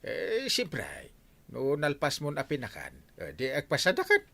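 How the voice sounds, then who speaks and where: A man's voice: on the high side, wildly swooping pitch, measured pace, creaky, pushed and bright, heavily acted. A man playing a proud, playful village captain who dotes on his buffalo, in an audio drama with an Ilocano accent. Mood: playful, boastful.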